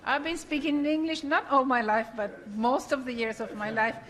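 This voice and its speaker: A woman's voice: high voice, moderate pace, fairly bright tone. A woman from Argentina, moderating a conference workshop in an earnest, explanatory way with frequent restarts. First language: Spanish.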